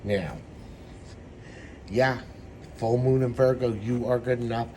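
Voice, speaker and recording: A man with a hoarse, troubled throat giving a meandering, reflective spiritual tarot reading. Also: medium pitch, steady tone, mellow, a little hiss or hum. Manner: meandering, reflective, slow-spoken. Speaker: tarot reader.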